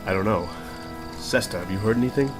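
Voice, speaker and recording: Man as lowish-voiced, steady adventurer. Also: brisk, faint music behind, lightly acted. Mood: easygoing. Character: steady, generous male adventurer, leader of the party. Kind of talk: fantasy audio drama acting.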